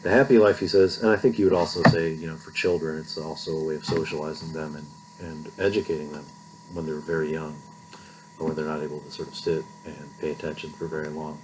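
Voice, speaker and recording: A man's voice: extremely low pitch, steady tone, fast, noisy background. A middle-aged man giving an informal recorded philosophy lecture in a conversational way, often checking in with "right?".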